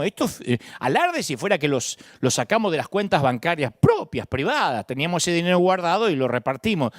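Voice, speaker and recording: A middle-aged Argentine pastor with a porteño accent delivers an emphatic sermon. His fairly high voice leaps through a very lively pitch range. The sound is dry and close, with no room echo. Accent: Argentine Spanish, Buenos Aires. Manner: expressive preaching, swings between high emphatic peaks and low asides.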